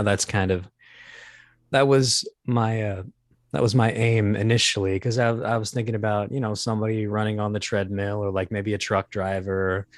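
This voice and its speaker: Male interview guest, low-pitched and dry-sounding, casual, feeling his way thoughtfully through each point. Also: dry recording. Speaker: audio drama creator and guest.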